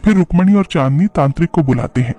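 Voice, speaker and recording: A man's voice: a little high, lively intonation, quick pace, warm tone. A man narrating a scripted horror story.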